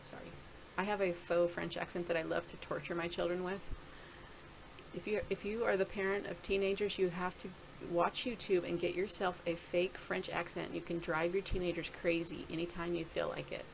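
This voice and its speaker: A woman's voice: on the low side, little pitch movement, normal speed, gravelly, slight background noise, low-quality recording. A middle-aged woman casually talking through a screen-share video blog, rambling and thinking aloud with many restarts. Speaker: video blogger.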